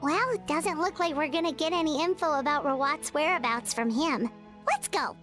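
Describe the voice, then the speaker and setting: A woman voicing a small, childlike fairy companion in a video game, chirpy, nosy and expressive. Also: high voice, moderate pace. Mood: curious, matter-of-fact. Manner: refers to self in third person, expressive. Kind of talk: English video game voice acting. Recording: game music behind.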